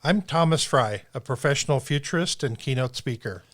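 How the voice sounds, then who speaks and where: A man's voice: mid-range voice, expressive, fairly quick, no room sound. Man reading a polished podcast intro. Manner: read-aloud, polished delivery. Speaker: podcast host, futurist. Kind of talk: scripted show intro.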